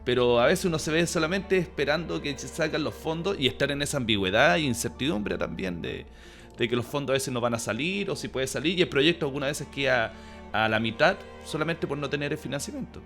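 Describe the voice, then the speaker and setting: A man's voice: on the high side, expressive, fairly quick, a bit bright, soft background music. A man hosting a conversational interview. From Chile. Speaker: interview host. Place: online video interview.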